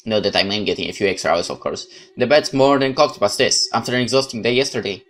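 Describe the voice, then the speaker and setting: A man's voice: on the low side, fast talker, a bit bright. A man reading a horror visual novel aloud as a let's play host, dramatic narration with casual asides.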